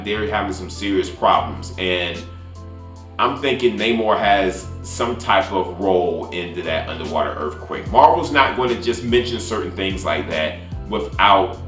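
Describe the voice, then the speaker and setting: A man with a deep voice gives a casual movie review. He talks haltingly, repeating and restarting phrases as he goes. The room adds noticeable echo, and faint music plays behind him. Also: a bit bright. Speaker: YouTube film reviewer.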